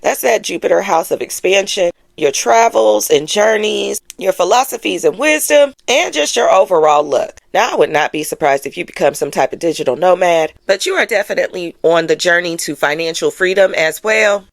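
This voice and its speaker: A woman's voice: lowish voice, lively intonation, normal speed, vocal fry, hardly any pauses, no echo, clean studio sound. A woman giving a casual astrology forecast as host, in a sassy, playful, conversational way with slangy, emphatic phrasing.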